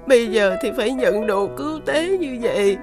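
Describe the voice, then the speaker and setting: A woman's voice: fairly high pitch, expressive, moderate pace, music in the background, lightly acted. A woman playing a distressed, weary mother fallen from riches in a fairy-tale cartoon, plaintive. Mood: bitter, sorrowful.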